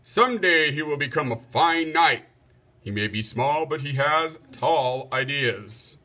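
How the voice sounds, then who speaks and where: Man acting a bright-voiced, commanding king. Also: a little high, lively intonation, measured pace, exaggerated voice. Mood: proud announcing. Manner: declamatory, formal. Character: commanding king, stately leader of knights. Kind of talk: storybook read-aloud.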